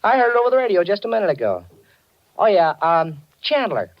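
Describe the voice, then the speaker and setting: A folksy, gossipy garage attendant in an old radio mystery. His voice is a little high and he rambles with chummy hesitations. The 1940s recording is slightly muffled. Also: big pitch swings, a little fast, background noise.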